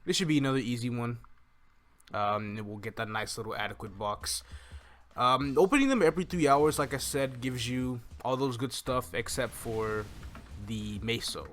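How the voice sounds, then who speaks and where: A man's voice: medium pitch, lively intonation, moderate pace, fairly bright tone. A young man hosting a game walkthrough in a casual, rambling way, thinking aloud as he goes. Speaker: gaming video host. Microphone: microphone on a stand close in front of him. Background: game music.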